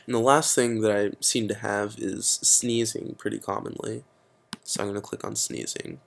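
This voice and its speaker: A man's voice: lowish voice, little pitch movement, moderate pace, gravelly. A teenage boy presenting a casual computer tutorial, explaining steps with frequent fillers. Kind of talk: screen-recorded computer tutorial.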